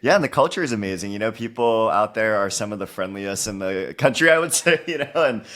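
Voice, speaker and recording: Low-voiced man from Hawaii, chatty guest. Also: animated, brisk, a bit bright. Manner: upbeat, heavy use of "you know" and "like". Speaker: guest author. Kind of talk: casual interview conversation.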